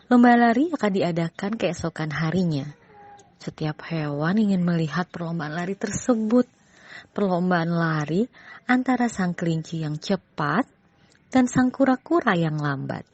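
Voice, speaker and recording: A woman's voice: on the low side, lively intonation, measured pace, highs cut off. A woman narrating a scripted children's story as a storyteller.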